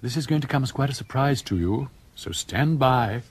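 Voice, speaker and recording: An actor playing a genial English gentleman narrating his own tale in a radio drama. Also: mid-range voice, expressive, normal speed, lightly acted. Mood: wry.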